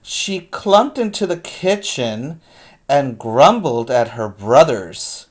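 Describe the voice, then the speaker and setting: Preschool teacher for a read-aloud: slow pace, emphatic storytelling, explanatory asides to small children. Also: medium pitch, very animated, a little mellow.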